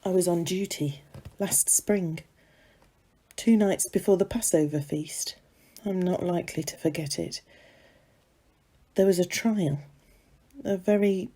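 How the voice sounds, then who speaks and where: A woman plays a serving maid from biblical times, telling her story in a confiding, conversational way. Her voice is husky and mellow, set rather low. She pauses for drama like someone passing on servants' gossip in a staged monologue. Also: heavily acted. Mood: reflective. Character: young gossipy serving maid in ancient Jerusalem, unrepentant, confiding.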